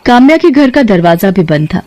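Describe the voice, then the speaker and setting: A woman's voice: mid-range voice, very animated, a little fast, noisy background. A woman working as a narrator, reading a scripted story.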